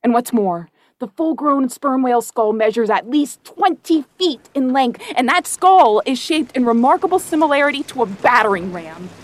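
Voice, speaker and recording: Higher-pitched seafaring storyteller. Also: female voice, expressive, normal speed, hardly any pauses. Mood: lecturing, wry. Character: grave, wry seafaring narrator of a whaling tale. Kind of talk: dramatic scripted narration.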